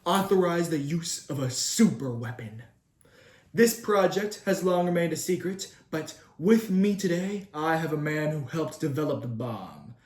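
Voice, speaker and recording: A teenage boy plays news anchor in a school newsreel, keeping a deadpan, mock-formal delivery. His voice is a little high and bounces around a roomy space. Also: background noise. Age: teens. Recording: roomy echoing room.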